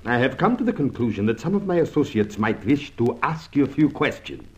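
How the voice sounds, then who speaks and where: An actor playing a suave, mock-courteous foreign villain in a radio drama, speaking formally and with polite menace. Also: male voice, medium pitch, sing-song, brisk, natural voice. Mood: polite threat. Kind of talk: old-time radio drama acting.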